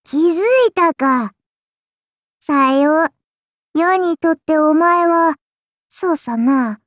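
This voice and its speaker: High, imperious little demon-god child. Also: female voice, takes it slowly, fairly bright tone, no room sound, studio quality. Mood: indulgent, teasing. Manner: haughty, archaic lordly speech, indulgent teasing. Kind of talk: anime game character voice lines.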